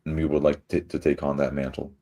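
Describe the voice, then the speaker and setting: Brief: male tabletop player, extremely low pitch, measured and formal in-character delivery. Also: level tone, warm tone.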